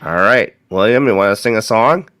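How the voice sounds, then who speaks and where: A man with a very deep voice questions the spirits in a manor, cracking jokes between questions. His voice sounds close and dry, with no room around it. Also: normal speed, a bit bright, dry recording. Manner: joking, direct questioning. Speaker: paranormal investigator. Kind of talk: questioning spirits, joking banter.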